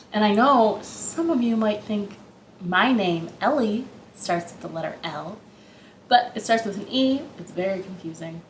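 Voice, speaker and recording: Storytime host: woman, slow-paced, playful and rambling with young children, recorded in a reverberant living room. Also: medium pitch, slight background noise. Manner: playful, chatty, rambling asides.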